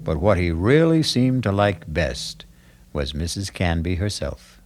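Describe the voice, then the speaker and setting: A man narrating a radio mystery drama from a script in a measured storytelling manner. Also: sits low, very animated, background noise. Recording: archival radio with faint music.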